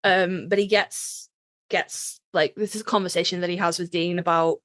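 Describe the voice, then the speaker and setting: A woman's voice: lowish voice, steady tone, moderate pace, fairly bright tone, no room sound, studio quality. A woman co-hosting a casual fan podcast, chatting in a sweary, gushing, self-mocking way. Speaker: podcast co-host.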